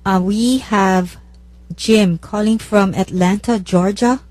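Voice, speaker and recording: A woman's voice: medium pitch, fairly even intonation, slow pace, darkish tone, no room sound. A woman on a radio show introducing callers in a brief, easygoing way. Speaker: radio call screener.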